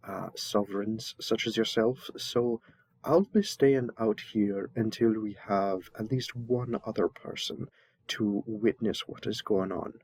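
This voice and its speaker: Roleplay audio: polite, flustered male envoy, played by a man, stammering and deferential, very unhurried, dry sound. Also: fairly low pitch, fairly even intonation, a little mellow, slightly rough, no echo. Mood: polite, reserved. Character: flustered, polite young royal envoy mistaken for a servant.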